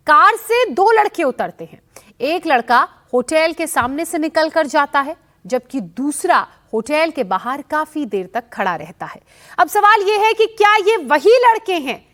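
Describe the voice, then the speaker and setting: A woman's voice: sits high, fairly bright tone. A woman news presenter narrating a scripted report to viewers in an emphatic, explanatory way.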